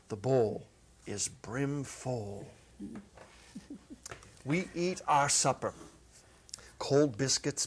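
A middle-aged man narrating a literary short story as a dramatic reading, with expressive, measured storytelling. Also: medium pitch, husky. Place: indoor studio set. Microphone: lapel.

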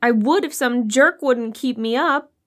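Woman acting a high-voiced nagging wife. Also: unhurried, lightly acted. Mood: exasperated, scolding. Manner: complaining, scolding. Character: nagging, exasperated sleepy wife. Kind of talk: audio horror drama acting.

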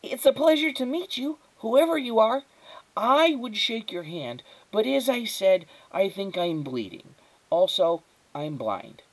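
A woman voicing a precocious, anxious small boy with a high, thin voice and a wordy, pedantic, formal manner for an audiobook. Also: big pitch swings, unhurried, heavily acted, low-quality recording. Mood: prim, pedantic.

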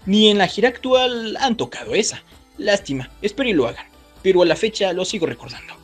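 A man's voice: sits high, expressive, fairly quick, slightly rough, soft background music. A man hosting a video with casual scripted commentary, chatting directly to his viewers. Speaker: YouTube host.

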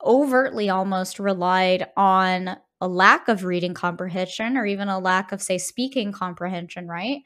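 A woman's voice: mid-range voice, normal speed, hardly any pauses, fairly bright tone. A woman co-hosting a podcast in an analytical, conversational way, often tagging her points with a questioning "right?". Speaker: podcast co-host. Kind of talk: conversational game discussion.

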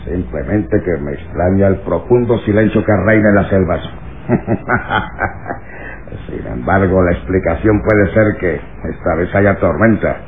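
An actor playing a cruel, domineering camp master in a vintage radio drama, with a gravelly voice and a commanding, sneering manner. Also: male voice, deep voice, a little slow. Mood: smug, brooding. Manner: commanding, sneering chuckles, barked orders. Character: cruel, menacing male slave-camp master, impatient and domineering. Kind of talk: vintage Latin American radio drama acting. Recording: tinny, noisy old radio recording.

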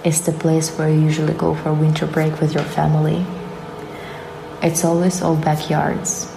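Woman from Ukraine, gravelly low voice, flat deliberate reading, audible background noise. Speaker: exhibiting artist. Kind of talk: prepared artist statement. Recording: noticeable background ambience.

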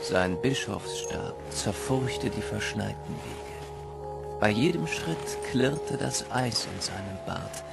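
A man narrating a dark winter legend as a solemn, dramatic storyteller in an audio play. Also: on the low side, expressive, slow, creaky, over loud music, exaggerated voice.

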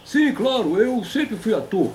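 A man puts on a boastful old grandpa puppet with a very high voice. He is folksy and pompous, lecturing like a veteran of stage and war in a comedy sketch, with faint noise behind. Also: sing-song, measured pace, darkish tone. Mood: boastful, grumbling. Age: older. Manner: pompous, folksy, repeats a stock affirmation. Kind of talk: comic puppet interview.

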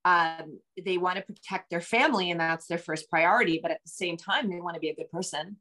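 A woman's voice: lowish voice, brisk, sharp tone. A middle-aged woman speaking conversationally as an interview guest, a novelist talking from a room at home over a video call.

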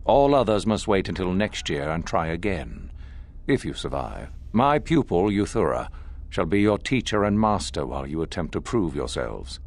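A man's voice: low voice, big pitch swings, normal speed, heavily acted. A man playing a commanding older Sith master in a video game, speaking in theatrical, condescending oratory. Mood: solemn commanding. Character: commanding older male Sith master, sinister, solemn and goading. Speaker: academy master addressing recruits. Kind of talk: dramatic scripted video game acting.

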